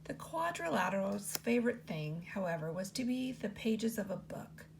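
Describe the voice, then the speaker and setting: A woman teacher reads a picture book aloud to children. Her voice has a gravelly, creaky texture and a lively, sing-song melody. A faint hum sits under her reading. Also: normal speed, poor audio. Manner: expressive read-aloud with lots of pitch movement.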